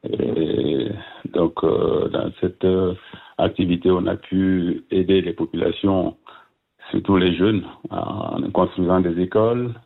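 A man in his fifties from Burkina Faso, a guest speaking by phone, hesitant with many fillers and soft laughs. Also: deep voice, steady tone, slow, gravelly. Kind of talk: phone interview. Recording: tinny phone line.

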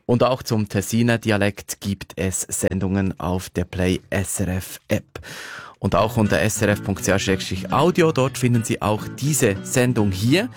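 A man's voice: lowish voice, moderate pace, faint music behind. A man from Fribourg with a Swiss accent, presenting a radio programme in a conversational style. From Fribourg, Switzerland. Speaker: radio host.

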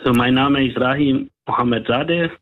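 A man's voice: mid-range voice, moderate pace, no room sound. Iranian guy, 30s, hesitant, hunts for words, tinny phone-ish clip. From Iran. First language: Persian. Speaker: interviewee.

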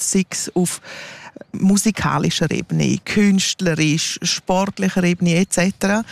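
A woman's voice: medium pitch, a little mellow, no room sound. Woman explaining her valley. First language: Romansh. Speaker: guest, regional radio editor. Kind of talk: casual interview.

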